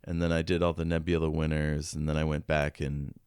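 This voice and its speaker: Deep, smooth-voiced man chatting casually. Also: little pitch movement, fairly quick, darkish tone, dry recording, studio quality. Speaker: podcast co-host. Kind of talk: casual sci-fi fan chat.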